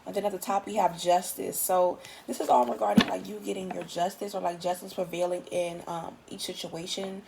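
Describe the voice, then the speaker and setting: Raspy, tired woman tarot reader. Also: on the low side, steady tone, a little fast, hardly any pauses, heavy background noise. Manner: loose, chatty, swears casually. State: just woke up, tired. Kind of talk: casual tarot reading monologue.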